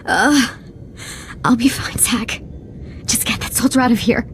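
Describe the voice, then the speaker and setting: An actress playing a sick, stubborn rebel wife in a video game, strained and defiant. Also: female voice, on the high side, unhurried, fairly bright tone, whispered. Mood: wary, dismissive. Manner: strained, resistant, emotional. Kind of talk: video game dialogue acting.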